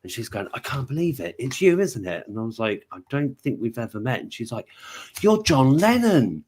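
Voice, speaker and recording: A man's voice: mid-range voice, normal speed. A man with a British accent, telling a personal story on a panel and acting out the people he quotes. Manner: animated, acts out quoted voices. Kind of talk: casual storytelling.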